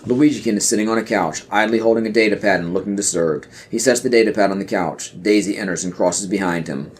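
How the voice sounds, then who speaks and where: A man's voice: lowish voice, quick pace, husky. A man reading stage directions as the narrator of a scripted audio play.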